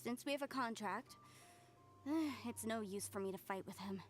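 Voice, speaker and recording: A woman voicing an earnest, principled expedition member in a game, expressive in delivery. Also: a little high, normal speed, faint music behind, close to an everyday voice. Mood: disapproving.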